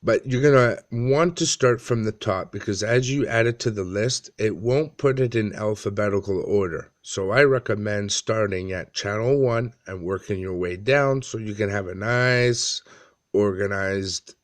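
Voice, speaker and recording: A man in his thirties giving a casual how-to walkthrough in a halting, plain-spoken way, pausing often. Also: lowish voice. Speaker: amateur tutorial presenter. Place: small dim room indoors.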